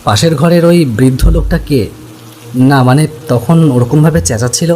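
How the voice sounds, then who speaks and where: An earnest young man played by a male actor with a slightly high voice, telling a ghost story in the first person. He sounds curious, with faint rain noise behind him. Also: fairly quick, warm tone, close to an everyday voice. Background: rain ambience.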